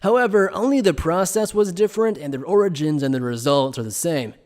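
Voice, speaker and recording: A man playing an evasive, playful scholar who explains things in a polite, measured, teasing way in an anime fan dub. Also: a little high, a little mellow, lightly acted. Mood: polite, explanatory. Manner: polite, measured, teasing lecturer with coy understatement.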